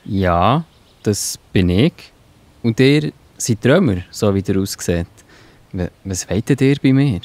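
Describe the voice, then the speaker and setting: Guy playing a ponderous kindly apostle, slow, dry studio sound. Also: fairly low pitch, lively intonation, darkish tone, no echo. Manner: musing, pondering aloud. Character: Peter, thoughtful kindly bearded apostle man. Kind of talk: children's audio drama acting.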